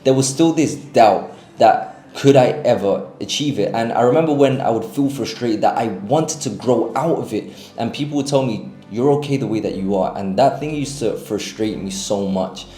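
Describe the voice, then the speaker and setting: A young man in his twenties with a London accent, telling his personal story unscripted to camera in an earnest, confessional way with long pauses. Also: mid-range voice, warm tone, roomy sound, faint music behind. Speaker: self-improvement creator.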